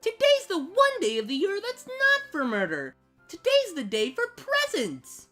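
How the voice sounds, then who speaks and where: A woman playing a teasing young housemate in a dramatized cartoon fan story, with a playful, casual, expressive delivery. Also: very high voice, a little slow, full character voice. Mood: gleeful. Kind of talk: dramatized fan fiction audio reading. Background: background music.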